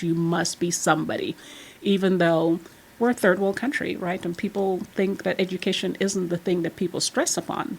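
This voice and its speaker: Husky, gravelly woman. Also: fairly low pitch, low-quality recording. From Trinidad and Tobago. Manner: chatty and animated, laughs while telling stories. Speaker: podcast guest, realtor. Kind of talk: casual storytelling chat.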